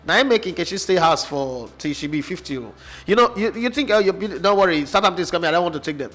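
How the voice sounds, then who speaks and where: A man with a Nigerian accent holds forth on football in an opinionated, passionate way. He keeps throwing rhetorical questions at his listener. His voice sits a little higher than most men's. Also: lively intonation, quick pace, fairly bright tone, soft background music. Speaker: sports show host. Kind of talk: opinionated football analysis chat.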